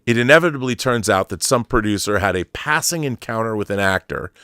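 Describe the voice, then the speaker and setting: A man's voice: lowish voice, lively intonation, brisk, fairly bright tone, dry recording, studio quality. A man hosting an online show, reading an article aloud in an emphatic storytelling way and adding explanatory asides.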